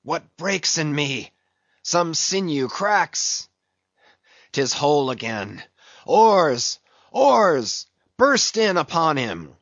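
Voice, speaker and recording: A man's voice: a little high, big pitch swings, drawn-out words, a bit bright, full character voice. A man voicing an obsessed, anguished whaling captain in a declamatory audiobook style. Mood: fierce, commanding. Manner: declamatory, crying out. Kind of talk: dramatic audiobook character acting. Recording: roomy, slightly dull recording.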